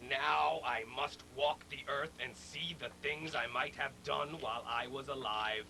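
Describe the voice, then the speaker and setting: A man voicing a mournful, regretful ghost in chains who warns gravely and solemnly in a storybook read-along. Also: medium pitch, steady tone, pushed and bright, slight background noise, low-quality recording. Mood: anguished regret. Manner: grave, slow, wailing, solemn.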